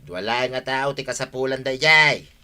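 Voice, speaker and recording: A man's voice: mid-range voice, normal speed, sharp tone, clear, resonant tone. An actor playing a sly, boastful married man in a Filipino radio drama in Ilocano, with a cocky, chuckling delivery. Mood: cagey, boastful.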